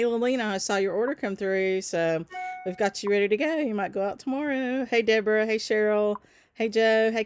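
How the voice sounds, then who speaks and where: A woman's voice: mid-range voice, clear, resonant tone, no echo. A woman who runs a stitching shop hosts a casual livestream, chatting with viewers, greeting them by name, with frequent ums and small laughs. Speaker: shop owner hosting a livestream.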